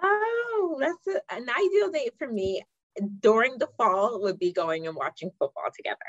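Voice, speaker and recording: A woman's voice: on the high side, big pitch swings, moderate pace, dry recording, highs cut off. A woman from Ghana, a marketing director, chatting easily in an interview with lots of ums and you-knows. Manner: easygoing, many "um"s and "you know"s. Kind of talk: casual interview chat.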